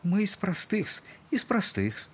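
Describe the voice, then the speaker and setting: An actor playing a shabby, drunken middle-aged captain in an audio drama, husky, clowning and mockingly over-polite. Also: male voice, high-pitched, sing-song, slow pace, full character voice. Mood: servile clowning. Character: shabby drunken captain, buffoonish, humiliated yet defiant.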